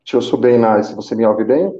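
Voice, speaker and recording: A man in his thirties talks earnestly through a headset on a video call and often repeats words as he restarts. His voice is mellow and dark. The call audio sounds muffled and echoes in the room. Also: medium pitch, normal speed. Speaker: senior call center manager, guest. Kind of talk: live video chat, telling his career story.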